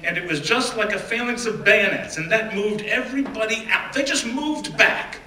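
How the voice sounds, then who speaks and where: A man giving a first-person dramatic reading of an oral history, measured and deliberate, often dropping to hushed phrases. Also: high-pitched. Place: stage or event room. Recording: roomy, distant mic with faint background noise.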